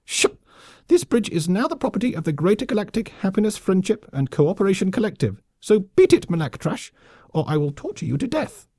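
Audiobook villain: vicious lemming colonel, squeaky, high-pitched, barking pompous military bluster, very animated. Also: male voice, fairly quick, breathy edge, no echo, clean studio sound, exaggerated voice. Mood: pompous, threatening. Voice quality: squeaky, shrill. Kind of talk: audiobook character voice.

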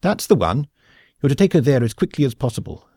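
A man's voice: medium pitch, sing-song, a little fast, a little mellow, no room sound, studio quality, voice pushed up. A man putting on a bluff, pompous office boss. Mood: blustering. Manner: bluff, blustering, old-fashioned. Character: big slow-moving middle-aged male boss, pompous.

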